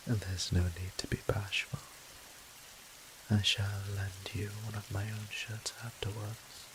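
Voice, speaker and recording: A man playing a gentle, teasing vampire priest in an intimate audio roleplay, speaking softly and breathily to the listener in courteous, old-fashioned phrasing. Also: on the low side, level tone, slow pace, long pauses. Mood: warm, reassuring. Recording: clear hiss and rain sound behind.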